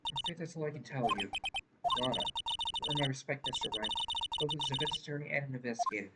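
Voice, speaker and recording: A game streamer reading the part of a guarded, dutiful woman confessing, in a formal, halting delivery. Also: mid-range voice, heavy background noise, lightly acted. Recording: loud game music behind the voice.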